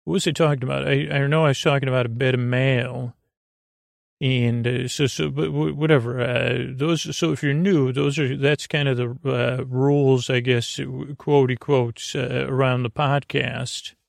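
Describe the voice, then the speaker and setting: A man with a noticeably creaky, mid-low voice hosts a bedtime podcast, recorded very dry. He meanders through an improvised monologue, restarting and drifting into tangents. His phrasing is whimsical and gently silly. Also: steady tone, dry recording. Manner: meandering, halting, repeats and trails off into tangents. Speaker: host of a bedtime sleep podcast.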